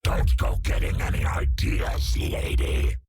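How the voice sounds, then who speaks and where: A man's voice: slow, a little mellow, close to an everyday voice. An actor voicing a menacing male alien monster in a video game, with a guttural growl and terse, threatening delivery.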